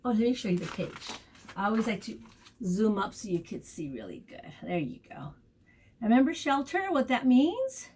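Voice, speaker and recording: A woman teacher leading a storytime lesson for young children, asking questions, encouraging them and repeating key words deliberately. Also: on the high side, big pitch swings, a little mellow, slightly rough. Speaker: preschool teacher.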